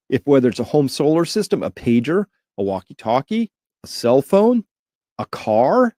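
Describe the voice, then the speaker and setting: A man's voice: mid-range voice, dark tone, dry recording, studio quality. A man giving informal, opinionated news commentary as a host, emphatic and alarmed, repeating words for emphasis.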